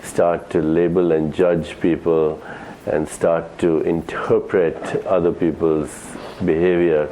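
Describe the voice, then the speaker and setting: A man giving an informal spiritual talk to an audience, summing up points in a deliberate, halting way with pauses between phrases. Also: deep voice, flat delivery, dark tone, poor audio. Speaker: spiritual teacher, co-speaker.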